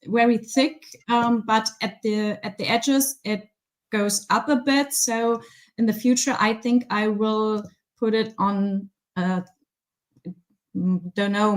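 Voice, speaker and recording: A woman with a German accent, a guest resin artist chatting casually on a video call, pausing often with ums. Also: medium pitch. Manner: hesitant, many ums, laughs easily. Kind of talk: casual chat.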